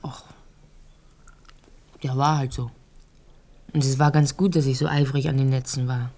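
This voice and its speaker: A woman's voice: rumbling low, steady tone, measured pace, full character voice. An actress in a radio drama playing a talkative, naive and frank young Ukrainian-born woman who tells her life in a rambling, conversational way. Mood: matter-of-fact, candid. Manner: rambling storytelling, occasional hushed phrases.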